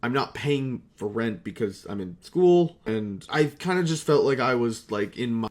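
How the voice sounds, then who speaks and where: Rambling, foul-mouthed young man vlogging. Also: mid-range voice. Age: young adult. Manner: foul-mouthed, self-deprecating. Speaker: video creator. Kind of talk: casual rambling retrospective vlog.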